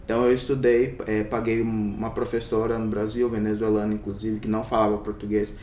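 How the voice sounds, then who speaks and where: Young adult man from Brazil, interview guest; hesitant and reflective, mid pitch, a bit distant from the mic in a roomy space. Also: level tone, fairly quick, darkish tone. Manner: reflective, many hesitations and fillers. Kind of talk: casual interview. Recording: echoey room, somewhat off-mic, faint rumble.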